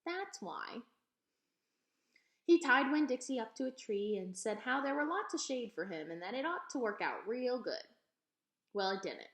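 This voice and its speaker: A woman reading a children's book aloud as an expressive storyteller. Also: a little high, moderate pace. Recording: echoey room.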